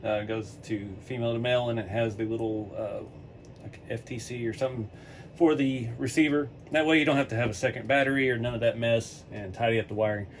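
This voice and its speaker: A man with a middle-pitched voice, talking through a relaxed workshop tutorial in a home garage. He is chatty and easygoing, fills pauses often, and sounds a bit hoarse. Faint ambient noise sits behind him. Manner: laid-back, chatty, frequent "uh" and chuckles. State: hoarse, losing his voice. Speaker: RC hobby channel host.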